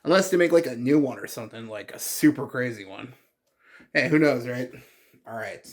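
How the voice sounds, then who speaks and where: A man's voice: mid-range voice, expressive, moderate pace. A man hosting his card game channel in a casual, rambling vlog. He is congested and stuffy, and he talks with many fillers and restarts. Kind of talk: casual deck profile vlog.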